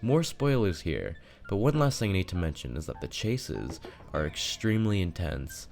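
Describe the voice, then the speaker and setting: A man's voice: low voice, big pitch swings, moderate pace, creaky, darkish tone, faint music behind. A man narrating a scripted video essay as a video game reviewer.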